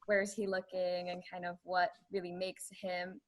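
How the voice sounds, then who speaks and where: A woman's voice: on the low side, level tone, moderate pace. A woman student sharing her thoughts in class, rambling with plenty of "like"s. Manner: rambling, many "like"s. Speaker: student in arts administration.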